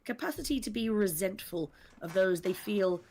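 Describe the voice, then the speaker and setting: A woman's voice: medium pitch, expressive, moderate pace, a little mellow, breathy edge. A woman speaking articulately and earnestly at length, explaining characters on a discussion panel.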